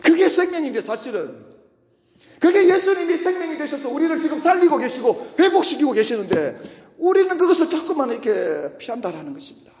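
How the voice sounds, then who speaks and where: A man with a husky voice preaching a Sunday sermon as a pastor, speaking emphatically, repeating phrases and putting rhetorical questions to his congregation. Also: extremely high pitch, very animated, fairly quick. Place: large reverberant church hall. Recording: muffled, echoey hall with long reverb.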